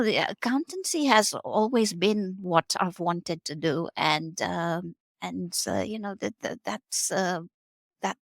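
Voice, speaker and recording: A woman's voice: fairly low pitch, no echo, clean studio sound. A woman in her forties from Kenya, a guest on a podcast interview, talking in a hesitant way with many fillers and restarts. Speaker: guest, R&D tax specialist.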